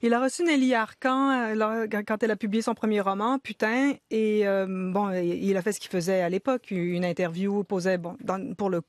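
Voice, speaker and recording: A woman in her fifties with a Quebec French accent, a guest writer talking quickly and emphatically in a radio studio discussion. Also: medium pitch, steady tone, no room sound. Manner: quick, emphatic, agreeing often. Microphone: studio microphone.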